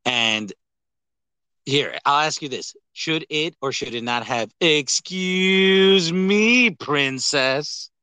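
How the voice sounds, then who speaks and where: A man with a bright, forceful voice, recorded close and dry. He chats casually as a podcast co-host, rambling with animation and swearing freely. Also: a little high, slow pace, clean tone, dry recording. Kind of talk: casual chat about films and games.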